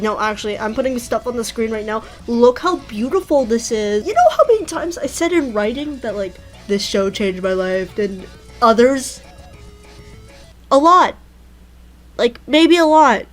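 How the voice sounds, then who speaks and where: Woman, fairly high voice, hesitant, rambling, self-deprecating, informal tribute, music in the background. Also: animated, a little slow. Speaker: fan content creator. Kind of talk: informal personal tribute over visuals.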